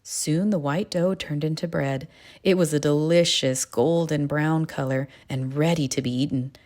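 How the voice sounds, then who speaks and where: A woman reading a children's storybook aloud with expressive, deliberate storytelling. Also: sits low. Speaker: storybook narrator. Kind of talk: scripted children's read-aloud narration.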